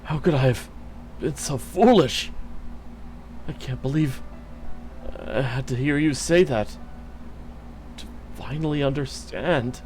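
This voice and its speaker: A man's voice: medium pitch, slow, long pauses, a little mellow, slight background noise, natural voice. A man voicing a loyal male fairy beast clan chief who pleads with his queen and later roars in fury. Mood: remorseful. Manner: pleading, then roaring with rage.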